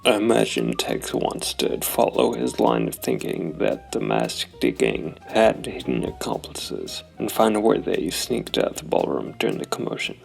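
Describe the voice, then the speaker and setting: A man narrating his solo tabletop role-playing game, thinking aloud in a deliberate, analytical way. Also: rumbling low, very animated, gravelly, soft background music.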